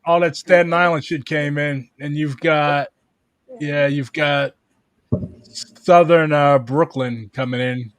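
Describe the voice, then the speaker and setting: A man's voice: on the high side, steady tone, slow, no room sound. Wisecracking man reading out results. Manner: crude, wisecracking. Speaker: watch party co-host. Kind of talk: casual commentary on results.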